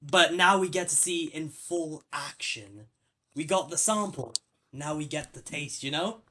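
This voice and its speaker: A man's voice: on the high side, a little slow, bright, forceful tone. Rambling male podcast host. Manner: rambling, many fillers and restarts. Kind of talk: casual film discussion.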